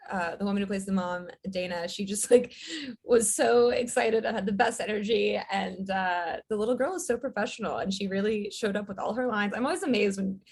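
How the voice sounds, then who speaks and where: Woman, screenwriter guest, husky voice, mid pitch, rambling casual chat. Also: a little fast. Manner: rambling, storytelling, frequent filler words. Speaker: writer and director, guest.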